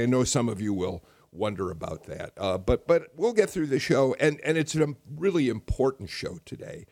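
Hoarse older man hosting radio talk. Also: medium pitch, a little mellow, no echo, studio quality. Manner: hesitant, many restarts and fillers. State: hoarse from a chest cold. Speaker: radio show host. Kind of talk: public radio panel talk.

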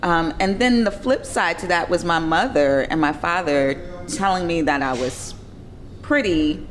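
A woman in her fifties with a slightly low voice speaks in a candid, conversational way. She sounds reflective and puts firm emphasis on her points. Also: measured pace, rough recording. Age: 50s.

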